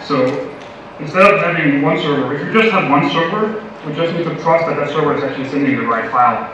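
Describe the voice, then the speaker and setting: A man's voice: fairly high pitch, fast talker. A young man from Denmark giving a casual, playful tech conference talk with many fillers. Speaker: conference speaker. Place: large conference hall. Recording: echoey hall from far, dull lo-fi audio, noisy.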